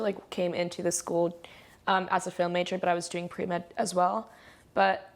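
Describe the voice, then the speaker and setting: Young woman with a lowish voice. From New Jersey. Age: young adult. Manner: chatty, frequent "like" and "um". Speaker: student panelist. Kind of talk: casual chat.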